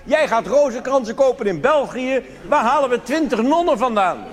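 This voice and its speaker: Man putting on a shrill, frantic bossy churchman. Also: animated, normal speed. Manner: shouting commands, indignant. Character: frantic, bossy male church leader barking orders. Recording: noisy live theatre recording, long reverb.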